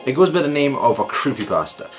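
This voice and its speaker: A man's voice: medium pitch, very animated, fast, music in the background. A man hosting his own channel, introducing a video in a rambling, joking way with many restarts. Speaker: channel host.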